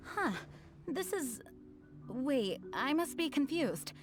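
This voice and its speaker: Thoughtful game companion: gentle, unhurried, expressive pitch, music underneath. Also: female voice, full character voice. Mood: puzzled. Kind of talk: English video game voice acting. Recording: music playing behind.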